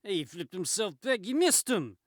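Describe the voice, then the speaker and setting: A man voicing brash comic-book gunmen, menacing and excitable, shouting tough talk. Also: high voice, normal speed, no echo, studio quality, pitched above the performer's own voice. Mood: excited, aggressive.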